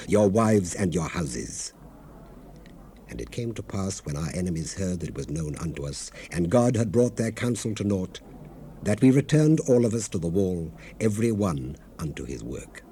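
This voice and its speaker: On a faintly hissy 1970s cassette, a male actor plays an earnest male leader of scripture. His deep, dark-toned voice moves dramatically up and down as he narrates his people's struggle with solemn, oratorical devotion. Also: animated, normal speed, lightly acted. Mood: rousing, commanding.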